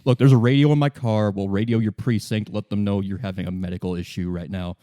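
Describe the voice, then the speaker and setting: A man's voice: lowish voice, steady tone, fast, warm tone, no room sound, studio quality, voice pushed down, lightly acted. A man playing a patient, polite police lieutenant. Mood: steady, reassuring. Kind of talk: improvised tabletop roleplay.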